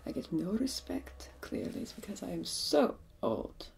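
A woman playing an ancient, haughty, aggrieved vampire in a comic ASMR roleplay, theatrical and breathy, often sinking into whispers. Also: medium pitch, animated, measured pace, darkish tone, slight background noise. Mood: indignant. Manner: theatrical, indignant, grumbling. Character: ancient, haughty, aggrieved seven-hundred-year-old vampire.

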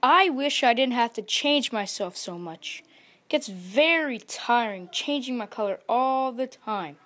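Woman putting on a high-pitched, vain little male chameleon. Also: lively intonation, unhurried, a bit bright. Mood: fretful, confused. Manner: boastful, defensive. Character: vain, insecure, grouchy small male chameleon. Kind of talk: children's storybook character voice.

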